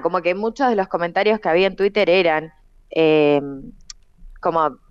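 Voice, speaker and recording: A woman's voice: on the low side, smooth, no echo. A woman with an Argentine accent giving a chatty trends report on a radio show. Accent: Argentine Spanish. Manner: chatty, conversational. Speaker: trends columnist. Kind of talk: radio segment chat. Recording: dull dry studio sound.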